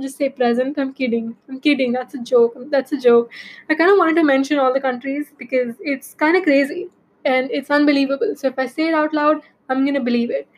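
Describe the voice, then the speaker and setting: A woman's voice: sits high, low-quality recording. A young woman from India with an Indian accent, hosting a casual solo podcast and talking in a rambling way with lots of fillers. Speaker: podcast host.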